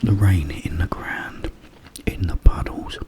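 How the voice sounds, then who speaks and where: Whispering British man, very slow and close. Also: deep voice, fairly even intonation. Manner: up-close, hushed, rambling storytelling with pauses. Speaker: ASMR video creator. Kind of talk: ASMR whispered casual ramble. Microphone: close microphone.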